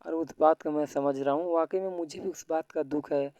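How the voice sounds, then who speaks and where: A man's voice: a little high, fairly even intonation, a little fast, mellow, clear, resonant tone. A man speaking on an informal recorded phone call, explaining things politely and at length. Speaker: YouTube news creator.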